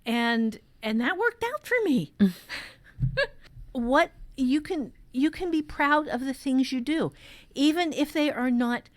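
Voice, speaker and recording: A woman's voice: sits high, lively intonation, slow. A woman hosting a podcast workshop, talking informally and candidly, telling personal stories emphatically and swearing freely. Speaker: podcast host and workshop teacher. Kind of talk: casual workshop chat and personal storytelling.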